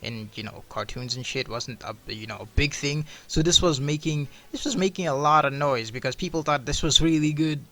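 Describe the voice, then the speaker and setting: A man's voice: on the high side, fairly quick, hardly any pauses, a bit bright. A man giving a casual, rambling video review, slangy and sweary, peppered with "bro" and "dude". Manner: slangy, profane, says "bro" and "dude" often.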